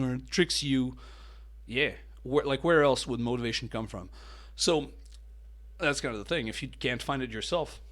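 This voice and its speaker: A man hosting a miniature painting channel, chatting casually with a mellow voice, full of fillers and restarts. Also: mid-range voice. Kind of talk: casual talking-head hobby tips.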